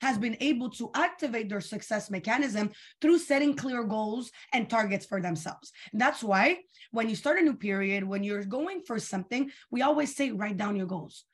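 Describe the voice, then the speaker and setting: A young woman leading a live online coaching session, energetic and asking her audience questions, her voice a little tired and worn. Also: fairly high pitch, moderate pace, breathy edge. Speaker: coach leading a weekly training call.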